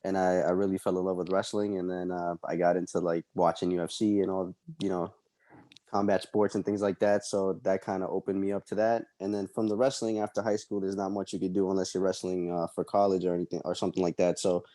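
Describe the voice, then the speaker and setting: A young man from El Salvador, a fighter being interviewed, answering casually and haltingly with many ums and uhs. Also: low voice, flat delivery, warm tone, no room sound. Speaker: MMA fighter guest.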